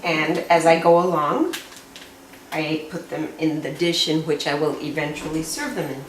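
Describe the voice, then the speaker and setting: A woman's voice: low voice, normal speed, recorded from a distance, noisy background. A woman walking through a recipe step by step in her home kitchen, talking in a homely, chatty way as she works. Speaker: home cook presenting a recipe. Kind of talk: step-by-step cooking demonstration. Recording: echoey kitchen, voice from off-mic. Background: clinking pots and cutlery.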